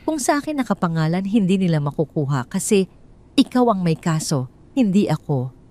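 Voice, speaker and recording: A selfish adult husband in a storybook drama, read by a woman, frantic and whiny, firing off worried questions. The voice sits fairly low and stays close to an everyday speaking voice. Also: big pitch swings, a little fast, dark tone. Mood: eager.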